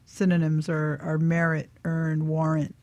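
A woman's voice: low voice, monotone, unhurried, a little mellow, dry recording, muffled recording. A woman hosting a radio talk in a casual motivational style, playful and often checking in with her listeners. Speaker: radio show host.

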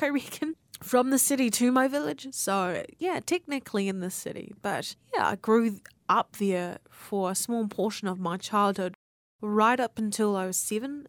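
A 19-year-old woman hosts a student radio show on her own. She talks in a candid, conversational way and drops casual swears. Her voice sits at an ordinary middle pitch, and the recording is dry, with no room echo. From New Zealand. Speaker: radio host. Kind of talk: personal monologue for student radio.

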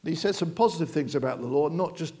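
Chatty, joking man preaching, slightly high. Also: quick pace, warm tone. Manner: chatty, joking, rambling with many restarts. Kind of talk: informal sermon.

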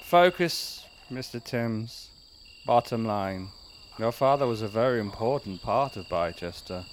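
An actor playing a pompous lodge master of a werewolf cult in a comic horror audio drama, grandiose with dry asides. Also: male voice, fairly low pitch, expressive, a little slow. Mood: proud, solemn. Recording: night insect ambience, clear noise.

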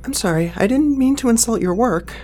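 A man's voice: extremely high pitch, animated, a little fast, a little mellow, slight background noise. A flustered young male office assistant acted by a man in an audio drama. His voice swings up and down as he hesitates politely and keeps sounding apologetic.

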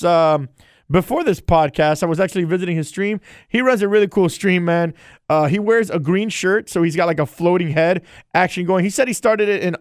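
A man on a casual solo podcast who talks in a loose, rambling, friendly way. His voice sits a little high and sounds smooth and resonant. The recording is close and dry, with no room sound. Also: no room sound. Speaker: podcast host and streamer.

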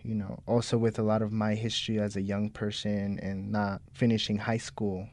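A man's voice: on the low side, level tone, moderate pace, darkish tone, no room sound. A man in his twenties from Mexico, a program coordinator, speaking earnestly in a radio interview with occasional fillers. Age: 20s.

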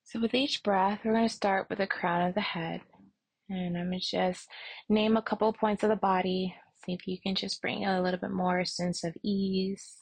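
A woman leading a guided meditation and prayer as an instructor, speaking softly and soothingly with long, unhurried pauses. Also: medium pitch, little pitch movement, creaky, husky, no room sound.

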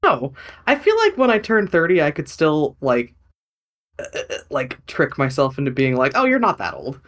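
A man's voice: on the high side, wildly swooping pitch, moderate pace, fairly bright tone. A man in his thirties co-hosting a podcast, chatting casually and rambling with many fillers and self-deprecating asides. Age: 30s. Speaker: podcast co-host. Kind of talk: casual chat.

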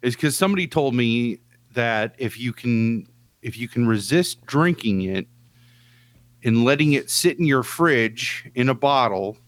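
A man in relaxed podcast talk with a mid-range voice. He is emphatic and loose, swears casually and leans on fillers. The recording is dry and up close. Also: medium pitch, measured pace, no room sound. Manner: emphatic, swears casually, hedges with "like" and "you know". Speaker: podcast co-host. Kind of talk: casual chat.

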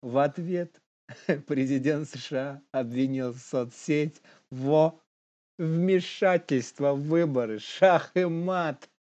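A man's voice: a little high, takes it slowly, darkish tone. Young man chatting casually, dull lo-fi recording. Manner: informal, slangy, chuckles while talking. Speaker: podcast co-host. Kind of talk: casual chat about the news.

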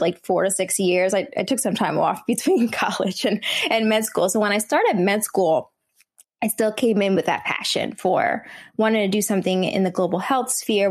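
A young woman in her twenties with a New Jersey accent and a slightly hoarse voice, talking casually as a podcast guest, with many fillers and restarts. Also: medium pitch. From New Jersey, USA. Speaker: guest, medical student. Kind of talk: podcast interview.

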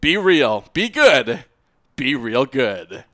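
A man's voice: mid-range voice, big pitch swings, takes it slowly, fairly bright tone, dry recording. A man hosting a podcast in a loose, jokey, casual style, wrapping up with plugs and banter. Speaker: podcast host.

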